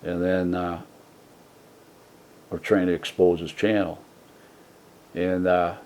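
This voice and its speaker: A man hosting his own channel, talking casually and softly from his trailer home, rambling with many fillers and restarts. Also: low-pitched. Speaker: YouTube channel host. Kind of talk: casual rambling vlog talk. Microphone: desk mic set for a soft voice.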